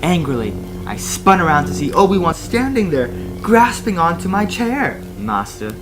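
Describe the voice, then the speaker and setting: A giggly reader performs fan fiction with comic drama. The delivery swings widely in pitch at a low-to-middling voice height, with chatty asides and laughter breaking in. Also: sing-song, normal speed, over music. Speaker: host reading fan fiction aloud. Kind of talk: comedic dramatic reading with asides.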